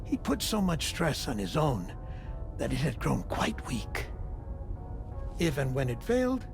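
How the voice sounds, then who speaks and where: An actor playing a genial undead man's soul in a borrowed body, speaking in a deliberate, theatrical way in a video game. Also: mid-range voice, huge pitch swings, creaky, full character voice. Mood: solemn, explanatory. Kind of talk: dramatic video game acting. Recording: music behind the voice.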